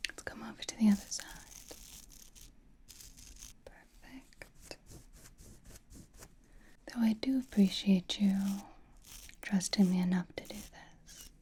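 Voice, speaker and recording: A woman voicing a flirtatious, teasing, possessive vampire in a soft ASMR roleplay, whispering breathily close to the microphone. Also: medium pitch, a little hiss or hum, close to an everyday voice. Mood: tender, flirtatious. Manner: slow, soothing, coy teasing.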